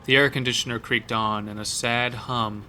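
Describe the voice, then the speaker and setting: A man narrating a scripted horror story in a dramatic, measured storytelling manner. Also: mid-range voice, steady tone, bright, forceful tone, a little hiss or hum.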